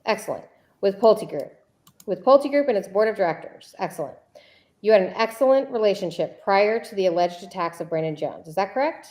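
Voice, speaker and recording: A woman reading a deposition transcript as a persistent attorney who needles a witness and repeats questions deliberately. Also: mid-range voice, expressive, a little mellow, natural voice. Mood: insistent, exasperated. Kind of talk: read-aloud deposition transcript.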